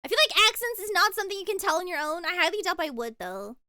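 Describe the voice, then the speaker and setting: A woman's voice: very high voice, big pitch swings, fast, sharp tone, dry recording, clean studio sound. A young woman streaming casually, gushing and giggling as she reacts and answers chat. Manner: bubbly, gushing, frequent giggles and repeated words. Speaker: VTuber streamer.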